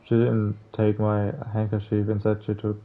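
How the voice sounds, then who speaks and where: A man reading visual novel text aloud as a playthrough host, stumbling over words now and then in a halting way. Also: on the low side, monotone. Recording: muffled lo-fi mic, music behind. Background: game music.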